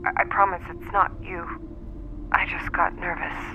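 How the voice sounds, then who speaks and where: A woman's voice: fairly low pitch, level tone, moderate pace, background noise. Muffled phone voicemail, nervous young woman. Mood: apologetic, tender. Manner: hesitant, apologetic. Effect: phone voicemail filter. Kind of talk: audio drama acting, voicemail message. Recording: muffled phone voicemail under loud music.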